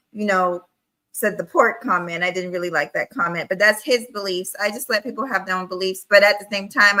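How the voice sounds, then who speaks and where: A woman's voice: medium pitch, sharp tone, low-quality recording. A woman hosting a live-stream panel, earnestly explaining her views in casual chat. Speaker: stream host.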